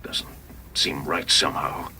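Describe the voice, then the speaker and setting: A man playing a gruff, uneasy, disapproving small-town mayor in a radio story, with a husky voice. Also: rumbling low, flat delivery, unhurried, bright, forceful tone, in a whisper, pitched below the performer's own voice, full character voice.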